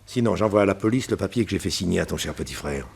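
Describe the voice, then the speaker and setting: Gravelly, menacing crime boss. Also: male voice, deep voice, animated, fast, a little mellow, full character voice. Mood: cold menace. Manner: commanding, threatening. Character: menacing male gang boss, cold blackmailer. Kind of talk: French radio crime drama acting.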